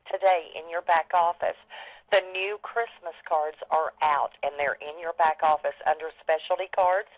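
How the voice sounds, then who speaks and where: A woman's voice: fairly low pitch, no room sound. Female sales leader, enthusiastic and emphatic, informal update, close dry sound over a muffled telephone. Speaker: network marketing leader giving updates. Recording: dull, band-limited conference phone line.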